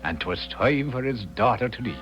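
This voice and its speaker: An actor playing a wily, garrulous Irish storyteller in a 1970s radio drama, with a lilting, stammering, wheedling way of talking. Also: male voice, mid-range voice, lively intonation, moderate pace, a bit bright, lo-fi sound, natural voice. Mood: storytelling. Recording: band-limited 1970s radio broadcast with music.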